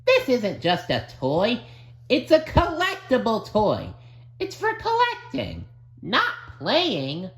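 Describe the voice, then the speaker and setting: A man voicing an excitable, childlike cartoon sea sponge with a gushing, earnest manner. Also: very high voice, slow pace, slightly rough, voice pushed up, exaggerated voice. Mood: earnest, insistent.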